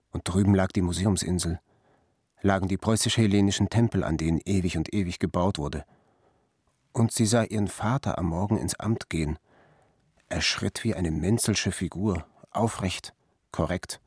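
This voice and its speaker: Low-voiced man narrating literary prose. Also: a little mellow, slightly rough, no room sound, studio quality. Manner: measured, declarative.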